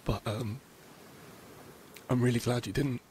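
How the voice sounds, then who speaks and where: A man acting a gentle, reassuring father on a battered boat in an audio drama, soft-spoken and hesitant. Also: medium pitch, steady tone, a little slow, slight background noise, natural voice. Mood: gentle, concerned. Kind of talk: naturalistic audio drama dialogue.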